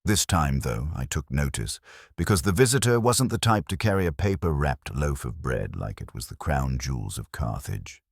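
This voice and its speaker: An extremely deep male voice narrates detective fiction in the first person. The delivery is wry and hard-boiled with lively intonation, and the sound is close and dry. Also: moderate pace, vocal fry, husky, no room sound. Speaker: audiobook narrator.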